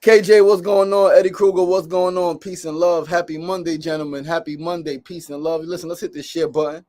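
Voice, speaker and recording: A man's voice: fairly high pitch, fairly even intonation, brisk, a little mellow. Upbeat rambling New man. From United States. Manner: rambling, upbeat, says "right?" often. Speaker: podcast host. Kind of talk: casual livestream monologue.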